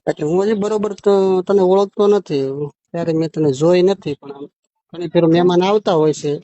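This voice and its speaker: A man's voice: high-pitched, fairly quick, mellow, no room sound. A man chatting casually on a phone call, colloquial and full of questions. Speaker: phone caller. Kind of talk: casual private phone chat. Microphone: phone call recording.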